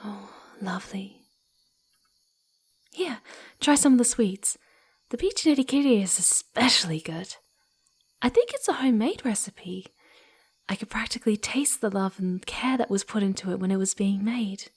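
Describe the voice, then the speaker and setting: A woman voicing a playful, sweet, eager young female fox spirit in a soft ASMR roleplay, cooing and giggly, often slipping into breathy whispers. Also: mid-range voice, animated, normal speed, pauses often. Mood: curious, gentle. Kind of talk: ASMR roleplay, speaking softly to the listener.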